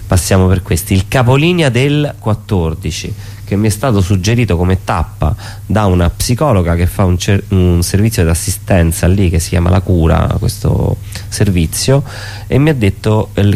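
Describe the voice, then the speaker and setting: A man with a very deep voice talks as an artist invited to present his work. He explains it informally, correcting himself and pausing to find the next phrase. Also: a little mellow. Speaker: performance artist, interview guest. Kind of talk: informal spoken presentation of own show. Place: radio studio.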